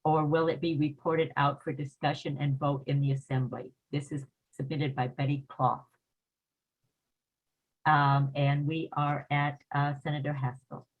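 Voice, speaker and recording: A woman's voice: deep voice, level tone, a little slow. A woman moderating a remote panel and reading out submitted questions. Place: remote video meeting. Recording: muffled video call audio.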